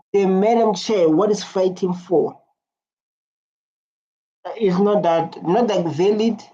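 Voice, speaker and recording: Reaction host rambling thru comments, lots of "like", dark mellow tone, kinda dull lo-fi audio. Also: medium pitch, slight background noise. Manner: rambling, heavy use of "like" and restarts. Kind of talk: casual commentary reading out viewer comments. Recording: dull lo-fi sound.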